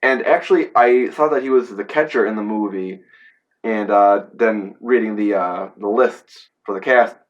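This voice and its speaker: A man's voice: on the low side, normal speed, highs cut off. A man with a head cold, sounding congested, who gives a rambling, casual, classroom-style film review full of fillers and playful asides. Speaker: history teacher reviewing a film.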